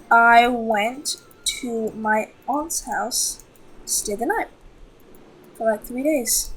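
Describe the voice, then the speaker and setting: High, husky-voiced woman chatting casually. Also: rough recording. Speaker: video co-host. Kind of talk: casual storytelling chat over a game.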